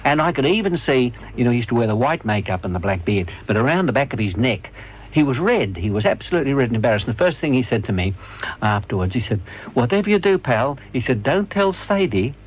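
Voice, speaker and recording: An older man reminisces in an interview with a husky voice. He is an animated, amused storyteller whose pitch moves around a lot. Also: fast talker, rough recording. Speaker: interviewee, former stage colleague.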